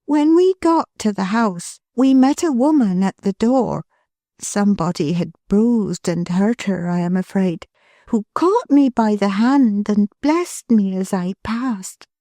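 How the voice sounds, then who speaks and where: A woman voicing a gentle, humble, kind-hearted young woman who speaks in a heartfelt way. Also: mid-range voice, animated, measured pace, no room sound, pitched above the performer's own voice, close to an everyday voice. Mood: moved.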